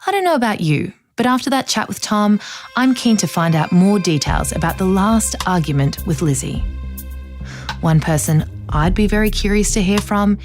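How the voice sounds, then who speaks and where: A woman acting as a composed true-crime podcast host, measured, probing and sceptical, in an audio drama. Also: medium pitch, animated, soft background music, close to an everyday voice. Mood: polished, presenting.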